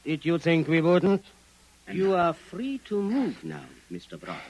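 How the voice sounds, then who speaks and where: An actor playing a calm, condescending male alien from Venus in a 1950s radio drama, with a lively delivery and a dry sound. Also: on the high side, a little slow, no echo, exaggerated voice. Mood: cool menace.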